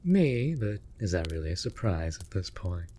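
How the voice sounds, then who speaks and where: A man's voice: sits low, sing-song, normal speed, dark tone, a little hiss or hum. A man voicing a male raven familiar in a fantasy comfort audio drama, affectionate and cheeky, with mock-polite, playful banter. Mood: playful teasing. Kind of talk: scripted comfort audio roleplay.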